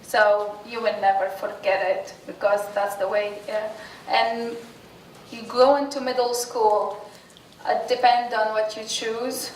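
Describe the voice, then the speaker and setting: A woman whose first languages are Arabic and French, talking slowly and haltingly to a class, full of pauses, restarts and fillers. Her voice sits a little high and comes from across an echoey room, with a faint hiss under it. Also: level tone, recorded from a distance. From Tunisia. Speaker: guest speaker. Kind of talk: informal class presentation.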